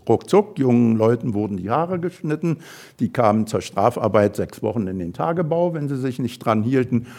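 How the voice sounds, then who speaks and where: A man's voice: medium pitch, a little mellow. Older Berlin man giving a hesitant talk. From Berlin, Germany. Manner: thoughtful, hesitant with many fillers and restarts. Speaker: invited speaker. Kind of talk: lecture talk.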